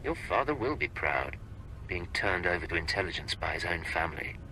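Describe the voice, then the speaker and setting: An actor playing a cold, curt imperial intelligence agent in a video game, clipped, menacing and businesslike. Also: male voice, deep voice, expressive, brisk, gravelly, bright, forceful tone, slight background noise, full character voice, poor audio. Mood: cold, stern. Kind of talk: video game cutscene acting.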